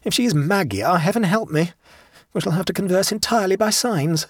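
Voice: mid-range voice, brisk, husky, no echo, studio quality, pitched above the performer's own voice, close to an everyday voice. A narrator voicing an anxious, dramatic male train traveller in a storybook, urgent and tremulous. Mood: pondering.

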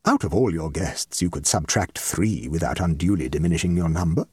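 A man voicing a shrewd, tactful society lady with a measured, dry and precise manner in a comic story. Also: low-pitched, warm tone, breathy edge, no echo. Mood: reflective. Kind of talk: comic short story reading.

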